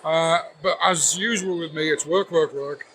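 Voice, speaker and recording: A man's voice: fairly high pitch, moderate pace, a little hiss or hum, rough recording. A man, a comic artist guest, chatting casually and teasing with dry jokes. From Liverpool, England. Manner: jokey, deadpan teasing. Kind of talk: casual convention interview. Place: busy convention floor.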